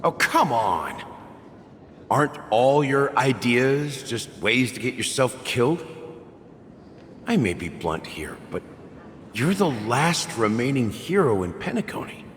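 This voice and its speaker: A man playing a blunt, gruff but caring friend in a video game cutscene. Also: medium pitch, very animated, slow pace, big-room sound, slight background noise. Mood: exasperated.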